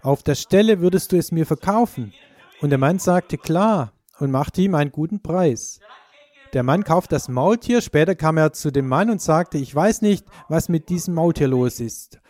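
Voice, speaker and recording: A man's voice: fairly high pitch, lively intonation, moderate pace, a little mellow. A man delivering a sermon in the voice of a preacher, addressing listeners directly. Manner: direct address with rhetorical questions. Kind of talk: sermon with personal testimony.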